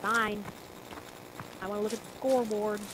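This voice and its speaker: A man's voice: high voice, level tone. An eleven-year-old boy casually chatting and teasing his opponent while playing a shooter game. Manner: fast, teasing trash talk. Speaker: gamer and channel host. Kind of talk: casual gaming chat. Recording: noisy home mic over game sounds.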